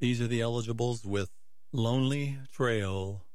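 A man with a gravelly voice hosting a radio music show, chatting casually with rambling, folksy asides to himself. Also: mid-range voice, unhurried. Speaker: radio host.

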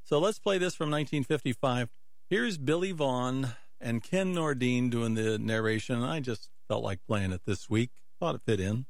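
A man's voice: medium pitch, lively intonation, moderate pace, vocal fry, no echo, clean studio sound. A man hosting a radio music show in a casual, rambling way, musing aloud between records. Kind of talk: casual disc jockey talk between records.

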